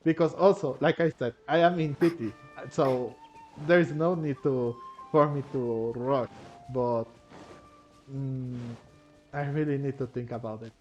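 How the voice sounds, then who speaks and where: A man's voice: medium pitch, unhurried, darkish tone. A man giving casual gameplay commentary, thinking aloud hesitantly and often repeating himself. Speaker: gaming video creator. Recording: dull, lo-fi microphone. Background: faint game music.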